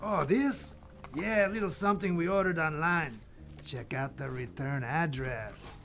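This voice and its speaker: A man playing War as a slick, cocky bro in a comedic audio drama, coaching in slangy talk with a gravelly edge. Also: a little high, unhurried, fairly bright tone, soft background music. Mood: smooth scheming.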